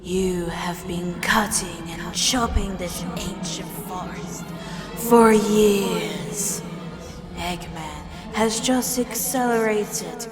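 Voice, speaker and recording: A woman voicing an ancient ghostly queen of forest spirits, grave and accusing, with a whispery, solemn, halting delivery. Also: lowish voice. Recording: long reverb, music over the voice.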